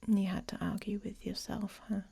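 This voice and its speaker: A woman playing a grumpy, guarded female werewolf in an intimate ASMR roleplay, speaking in a halting, breathy, often whispered murmur. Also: mid-range voice, little pitch movement, warm tone. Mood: vulnerable, hesitant.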